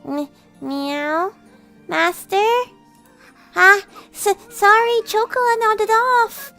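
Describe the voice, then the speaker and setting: A woman's voice: extremely high pitch, fairly even intonation, extremely slow, bright, forceful tone, soft background music, voice pushed up, heavily acted. A woman voicing a bubbly, adoring young cat girl in a cutesy, gushing visual novel style. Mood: sleepy, flustered. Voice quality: cutesy, girlish. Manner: gushing, speaks of herself by name.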